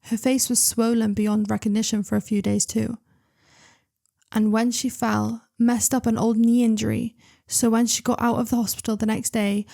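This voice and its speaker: Young woman story reader with a slightly high voice, chatty and excitable, full of asides. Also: creaky. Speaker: reader and channel host. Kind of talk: reading online stories aloud with commentary.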